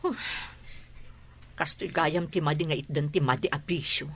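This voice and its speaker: Regretful, sulking daughter, woman actor, low breathy-husky voice, close dry radio drama sound. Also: normal speed, fairly bright tone, no room sound, exaggerated voice. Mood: sulky, regretful. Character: sulky, regretful daughter who defends herself. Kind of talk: Ilocano radio drama acting.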